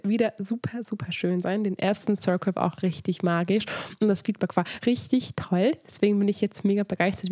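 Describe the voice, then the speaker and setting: A young woman with a cold-strained voice hosts a relaxed podcast chat over a video call. She is gushing and chatty, her voice sits mid-range, and the sound is dry and close. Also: mid-range voice, no echo. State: sick, voice strained. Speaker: podcast host.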